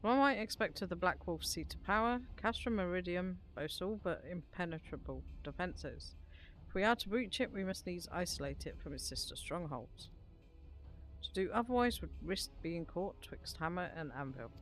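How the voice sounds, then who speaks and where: A woman's voice: on the low side, expressive, moderate pace, lightly acted. Woman putting on a formal, declamatory military commander. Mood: grave, resolute. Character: formal, stern military commander briefing troops. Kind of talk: reading fantasy game dialogue aloud. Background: background music.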